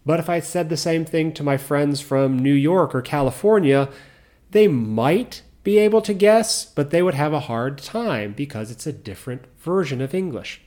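A middle-aged man with a mixed American accent and faint Southern roots, hosting a podcast in slow, clear teaching talk for learners. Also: a little high. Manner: calm, explains step by step, repeats key points. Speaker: podcast host.

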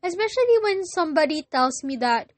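A young woman with a Filipino accent, whose first language is Filipino, hosting a casual solo podcast and often pausing to search for words. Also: very high-pitched, sing-song, dry recording. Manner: hesitant, searching for words with many pauses. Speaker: podcast host.

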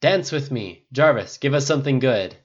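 An audiobook narrator voicing a forceful, tipsy, exasperated businesswoman with an emphatic, complaining manner. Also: mid-range voice, sing-song, normal speed, dull audio. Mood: tipsy pleading.

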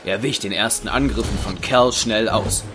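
An actor as the sober narrator of an adventure audio drama, reading scripted narration. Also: male voice, on the low side, moderate pace, loud music behind the voice, close to an everyday voice. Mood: sober, suspenseful.

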